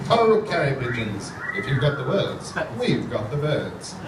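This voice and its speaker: A man's voice: medium pitch, sing-song, moderate pace, heavy background noise, natural voice. A man playing an announcer in a comic stage radio serial, narrating in parody melodramatic style. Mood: salesy. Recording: echoey theatre, distant mic, loud music.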